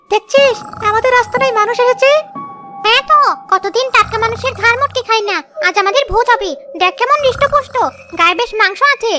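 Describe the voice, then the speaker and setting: A performer voicing a gullible cartoon ghost, menacing yet easily cowed, with loud music over the voice. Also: extremely high pitch. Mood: gleeful menace. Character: small, dim, gullible, squeaky cartoon village ghosts. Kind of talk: cartoon story voice acting.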